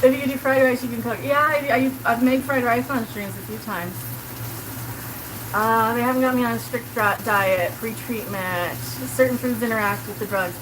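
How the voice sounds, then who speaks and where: A chatty woman in her forties with a South Kansas accent, talking to her stream as she cooks. Her voice sits a little high, and she rambles loosely, filling her sentences with "like." A pan sizzles clearly behind her. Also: steady tone, normal speed, background noise. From Colorado. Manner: chatty, rambling, heavy use of "like", answers chat aloud. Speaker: livestreamer. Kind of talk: casual live-stream chat while cooking. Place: home kitchen.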